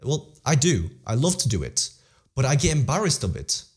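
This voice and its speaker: A teenage boy speaks as an interview guest, earnest and driven as he gives motivational advice. His pitch sits in the middle for a young man. He restarts sentences and repeats phrases as he pushes his point. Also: very animated, normal speed, darkish tone, husky. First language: Polish-English bilingual, English stronger. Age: teens. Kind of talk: casual motivational talk.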